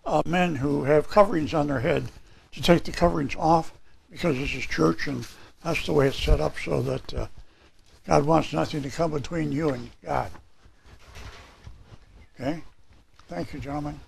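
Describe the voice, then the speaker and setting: A man in his sixties with a hoarse, raspy voice, preaching a sermon and reading scripture in a small church hall. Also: on the high side, moderate pace, long pauses. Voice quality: raspy, gravelly. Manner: gesturing, reading scripture aloud from papers. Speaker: pastor.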